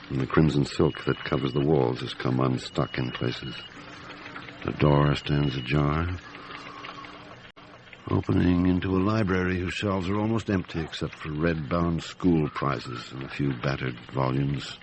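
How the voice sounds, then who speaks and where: Very deep, gravelly seasoned police inspector. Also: male voice, normal speed, a little hiss or hum, poor audio. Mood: brooding, observant. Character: seasoned male police chief inspector, gruff, methodical, observant. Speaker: lead actor in a detective radio drama. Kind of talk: radio drama acting and narration.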